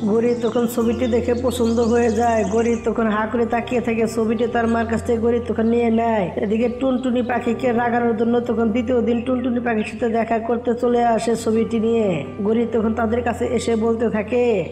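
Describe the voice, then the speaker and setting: Mellow, even-toned woman narrator. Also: fairly high pitch, moderate pace. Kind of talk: scripted children's cartoon story narration. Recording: roomy echo with music behind.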